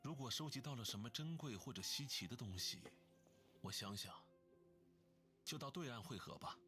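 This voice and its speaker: A man voicing a composed, learned gentleman in a video game, speaking in a measured, formal, explanatory way. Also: medium pitch, expressive. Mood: calm, explanatory. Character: composed, learned gentleman consultant, scholarly and unhurried. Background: game music.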